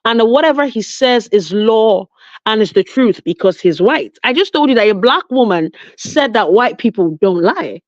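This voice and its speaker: Nigerian woman, expressive, emphatic commentator; lo-fi, dry recording. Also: on the high side, moderate pace, no room sound. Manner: animated, emphatic storytelling with frequent restarts. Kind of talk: casual online chat. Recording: dull lo-fi audio.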